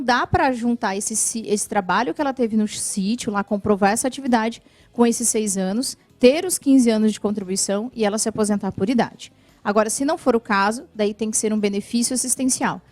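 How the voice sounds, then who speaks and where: A Brazilian woman answering viewers' questions about benefits in a TV interview, explaining patiently and clearly, often adding "né". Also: fairly high pitch, fairly quick, a little mellow, dry recording. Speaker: social security lawyer guest. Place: TV studio.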